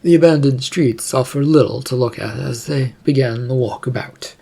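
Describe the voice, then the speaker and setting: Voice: mid-range voice, normal speed, creaky, dark tone, breathy edge. A storyteller reading scripted fiction narration aloud.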